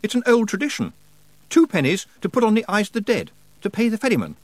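A man's voice: high voice, wildly swooping pitch, fast talker, dry recording, voice pushed up, exaggerated voice. A man voicing an earnest, stubborn young hero in a storybook, speaking in a firm, insistent way.

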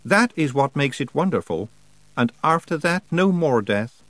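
A man voicing a mad male spirit of winter, talking slowly and dreamily with a chilling calm. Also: mid-range voice, no echo, pitched above the performer's own voice. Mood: dreamy. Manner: slow, dreamy, cheerfully chilling.

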